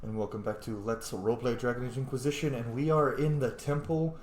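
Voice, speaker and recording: A man's voice: medium pitch, steady tone, brisk, a little mellow. A man giving casual, informal let's play commentary as a channel host, reacting wryly aloud. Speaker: video game streamer, channel host. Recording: echoey room, faint game noise.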